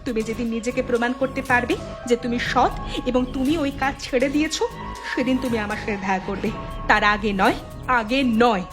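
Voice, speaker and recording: A woman's voice: on the high side, a little fast, music in the background, close to an everyday voice. A woman voicing a principled young woman in a cartoon, firm and reproachful. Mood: indignant. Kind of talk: cartoon voice acting.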